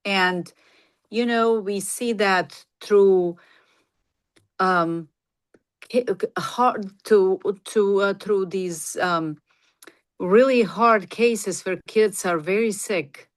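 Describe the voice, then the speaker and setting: A woman's voice: mid-range voice, extremely slow. A middle-aged woman from Bosnia, a native Bosnian speaker, talking casually as an interview guest. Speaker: podcast guest, nurse practitioner and clinic founder.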